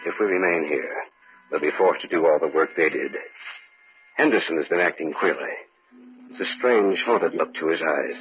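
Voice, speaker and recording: An actor playing an uneasy, earnest research scientist in old-time radio horror style. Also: male voice, a bass voice, moderate pace, soft background music. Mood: brooding, dread. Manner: earnest, urgent pleading. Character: Emery, uneasy male research scientist keeping a diary. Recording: muffled noisy vintage radio recording.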